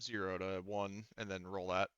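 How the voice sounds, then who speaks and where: A man's voice: low voice, level tone, normal speed, a bit bright, slight background noise. A man speaking as game master of a tabletop roleplaying game, casually profane, talking players through the rules. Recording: dull, lo-fi close dry voice track.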